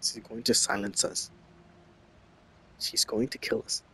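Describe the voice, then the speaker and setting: Low-voiced man muttering game commentary. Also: flat delivery, a little slow, a little hiss or hum, poor audio. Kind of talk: casual game playthrough commentary. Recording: loud game music behind.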